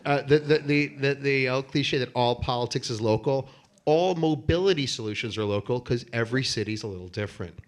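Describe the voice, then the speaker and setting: Assertive man giving panel remarks. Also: mid-range voice. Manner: assertive, emphatic, with measured statements. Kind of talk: conference panel discussion.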